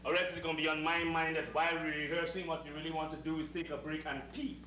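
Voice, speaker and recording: A high-pitched middle-aged Trinidadian male servant in a stage comedy, sly and wordy beneath his deference. He speaks with elaborate mock solemnity. The sound is a roomy, noisy old tape of a theatre performance. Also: flat delivery, fast talker, sharp tone. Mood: wary, deferential. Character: deferential yet sly, verbose retired calypsonian hotel servant. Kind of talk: live stage comedy acting. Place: theatre stage before an audience. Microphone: distant camera microphone.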